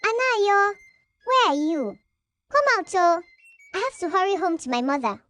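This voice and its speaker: An innocent young village woman from a folktale, played by a woman storyteller. She calls out loudly for her sweetheart. The pitch is very high. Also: very animated, moderate pace, no echo, faint music behind, heavily acted. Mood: calling, hurried. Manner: calling out loudly.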